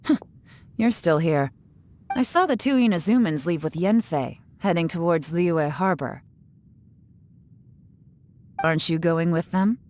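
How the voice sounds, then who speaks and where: Low-voiced, sly female operative. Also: animated, darkish tone, slight background noise. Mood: cool, assessing. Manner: sly, unhurried, knowing. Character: cool, shrewd, teasing female secret operative. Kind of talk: video game voice acting. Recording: music under the voice.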